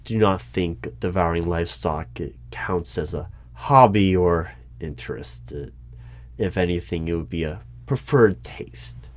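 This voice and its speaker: A man playing a formal, unflappable hiring manager at a secret bureau, speaking in a measured, deadpan way in an ASMR interview roleplay. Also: low voice. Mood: formal, curious. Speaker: interviewer in a one-sided roleplay. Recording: clear noise with keyboard typing. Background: computer keyboard typing and clicks.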